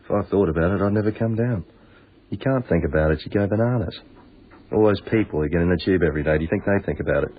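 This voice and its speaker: An actor playing a blunt Australian tunnel night worker in a radio drama, griping in colloquial speech with a husky voice. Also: male voice, low-pitched, quick pace, a little hiss or hum, lightly acted. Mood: wary. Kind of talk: naturalistic radio drama acting. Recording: narrow, lo-fi phone-like radio recording.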